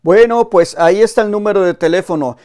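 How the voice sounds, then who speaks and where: A man's voice: high voice, fairly quick, darkish tone. A man hosting a religious testimony program, pressing viewers directly and interviewing a caller. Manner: urgent, exhorting, direct address to viewers. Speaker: host of a testimony channel.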